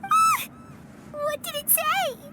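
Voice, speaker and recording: A woman voicing a tiny, excitable, easily scared fairy companion in a video game, childlike and dramatic. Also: slow pace, reverberant, very noisy, exaggerated voice. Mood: frightened. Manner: refers to self in third person, squeaky, dramatic.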